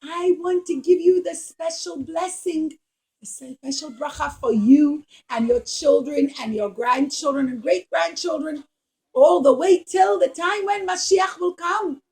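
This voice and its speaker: Woman as old father, puppet show, high voice, solemn, emphatic, loving, blessing-giver. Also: measured pace, darkish tone.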